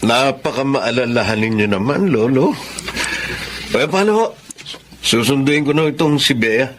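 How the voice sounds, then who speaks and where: A man's voice: medium pitch, lively intonation, moderate pace, background noise, close to an everyday voice. An actor playing a polite, respectful young father in a Tagalog radio drama. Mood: polite, warm.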